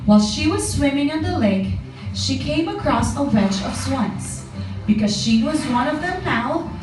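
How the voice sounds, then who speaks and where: Slow, lilting woman storyteller in echoey room. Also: high voice, animated. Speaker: narrator. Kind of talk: scripted children's story narration. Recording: very echoey, distant mic, music behind.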